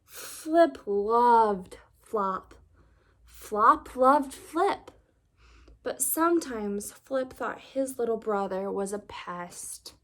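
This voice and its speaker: A woman reading a children's story aloud as narrator, expressively, with deliberate phrasing for young listeners. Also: on the high side.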